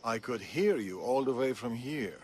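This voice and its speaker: A man's voice: mid-range voice, expressive, moderate pace, a little mellow, slight background noise, close to an everyday voice. An actor playing a serious older male assassin mentor who speaks in clipped instructions in a video game. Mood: grave. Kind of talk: video game cutscene acting.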